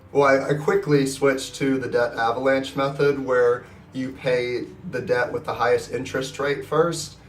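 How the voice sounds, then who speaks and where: A young man in his twenties talks casually to camera, his voice nasal and scratchy from being sick. He sounds upbeat and rambles a little, with restarts. The mic sits some distance away in an echoey room, with faint guitar music underneath. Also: mid-range voice. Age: 20s. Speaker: personal finance vlogger. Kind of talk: casual talking-to-camera vlog.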